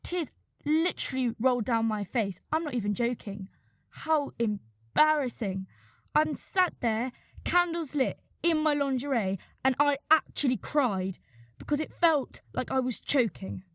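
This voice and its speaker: A woman's voice: high voice, animated, a little slow, dry recording, studio quality. A woman playing a candid, incredulous young woman in a naturalistic, chatty radio play, questioning and exclaiming. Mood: embarrassed, confiding.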